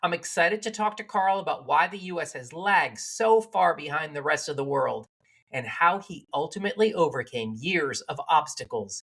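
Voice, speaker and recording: A man from Connecticut hosting a podcast, opening the show and chatting with a guest over a remote call, animated and quick to laugh. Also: fairly high pitch, gravelly, slightly rough. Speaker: podcast host. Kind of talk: casual interview chat.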